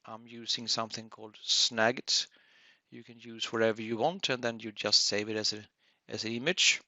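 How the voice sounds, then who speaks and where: A man presenting a technical webinar tutorial as an instructor, explaining step by step in a halting way. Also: fairly low pitch, steady tone, bright, forceful tone, no room sound. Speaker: audio engineering instructor.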